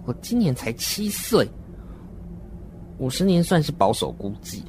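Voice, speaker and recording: An adult playing a slow, earnest seven-year-old child. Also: mid-range voice, sing-song, darkish tone, a little hiss or hum. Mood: uneasy, earnest. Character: seven-year-old child narrator, naive, wordy, uneasy. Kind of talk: horror radio drama monologue, scripted narration.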